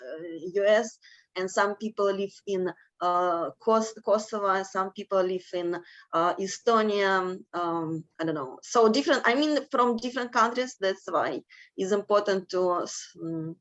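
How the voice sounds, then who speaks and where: A woman's voice: mid-range voice, a bit bright, dull audio. A woman from Russia, speaking hesitantly with many fillers as an interviewee on a video call. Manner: hesitant, many fillers, halting non-native phrasing. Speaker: alum interviewee. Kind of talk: panel discussion over video call.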